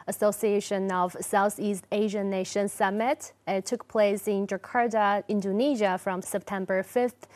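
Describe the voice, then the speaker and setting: A woman's voice: mid-range voice, steady tone, unhurried, few pauses, a little mellow. A woman hosting a casual panel chat, asking guests questions and keeping the talk moving. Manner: friendly, steering the talk. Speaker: host.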